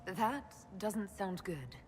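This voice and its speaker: A determined young mage heroine in a game cutscene. She speaks at a medium pitch, measured and level-headed. Her voice reverberates in a hall with a little noise behind it. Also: animated. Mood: puzzled, concerned. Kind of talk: video game cutscene acting.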